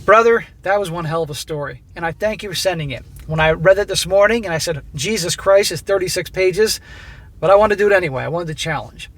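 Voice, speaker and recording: Man, high voice, blunt and profane, advising listeners, getting hoarse. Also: fast talker, a bit bright. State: losing his voice. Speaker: host reading listener stories. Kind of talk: reading a submitted story aloud with commentary.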